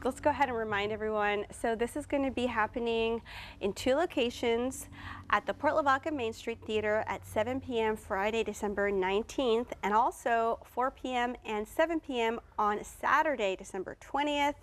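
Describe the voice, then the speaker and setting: A woman's voice: on the high side, moderate pace. An American woman hosting a local broadcast interview in a conversational presenting style.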